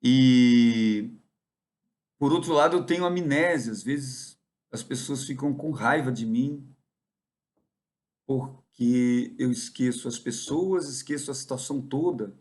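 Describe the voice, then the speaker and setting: Brazilian guy rambling to camera, musing, lots of pauses mid-sentence. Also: mid-range voice, darkish tone. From interior of São Paulo, Brazil. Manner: meandering, musing, often pausing mid-thought. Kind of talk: rambling reflective monologue.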